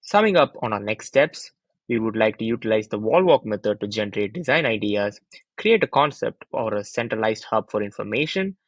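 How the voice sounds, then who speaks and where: A young man with a fairly low, husky voice narrates a scripted student presentation. He explains each step in an orderly way. The sound is dry, with little room around the voice. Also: expressive, a little fast, dry recording.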